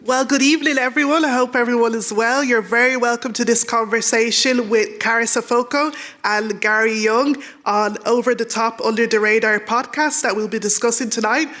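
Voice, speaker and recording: A woman's voice: on the high side, steady tone, moderate pace, fairly bright tone. A young adult woman moderating a live book-festival talk, giving upbeat, polished introductions of the guests. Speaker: event moderator and broadcaster. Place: live event stage.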